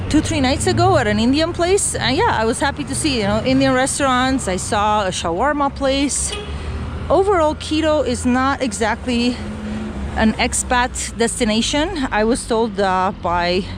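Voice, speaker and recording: A middle-aged woman from Romania vlogs casually while walking down a city street, rambling with many fillers and restarts. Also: a little high. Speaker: travel vlogger. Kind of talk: casual walk-and-talk vlog. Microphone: handheld camera while walking. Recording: outdoor street noise and traffic.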